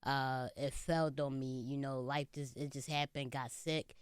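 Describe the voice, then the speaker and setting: A man's voice: mid-range voice, level tone, normal speed, clean tone, no echo, clean studio sound. A man in his late twenties, a comedian guest in a casual interview, talking earnestly and often saying "you know". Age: 20s. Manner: earnest, speaks of faith, many "you know" fillers.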